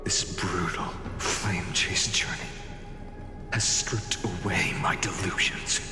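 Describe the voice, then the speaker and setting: A man playing a weary, solemn warrior on a tragic quest in a game, speaking gravely and deliberately. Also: deep voice. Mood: weary, resolute. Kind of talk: dramatic game voice acting. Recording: long echoing reverb over music.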